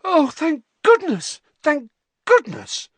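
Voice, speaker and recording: A male narrator puts on an anxious middle-aged mother witch from a storybook. She speaks in a high, swooping voice, doting and fretful, with emotion spilling into every exclamation. The sound is close and dry. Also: wildly swooping pitch, slow, dry recording, full character voice. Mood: frantic relief.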